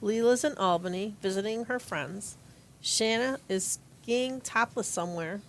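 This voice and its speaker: A woman's voice: mid-range voice, measured pace, lightly acted. A woman acting a dry, easygoing teacher and aunt in an amateur audio play, with faint room noise.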